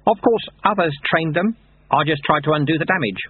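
A man voicing an ancient, calm monk teacher who speaks with dry, patient irony. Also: fairly high pitch, fairly quick, husky, pitched above the performer's own voice. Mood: calm wry. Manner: dry, patient, gently ironic. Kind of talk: audiobook character reading. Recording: dry, tinny phone-like sound.

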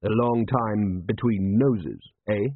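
A man voicing a solemn, cynical desert warrior who talks in a measured, sardonic way in an audiobook. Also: on the low side, husky, dry recording, full character voice. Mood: cynical, wry. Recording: thin, band-limited, phone-like sound.

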